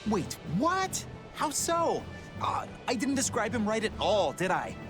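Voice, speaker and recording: An actor playing a loyal, cheeky pirate crewman in a video game, chatty and expressive in an informal, colorful way. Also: high-pitched, measured pace, exaggerated voice. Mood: alarmed, dutiful. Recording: game music behind the voice.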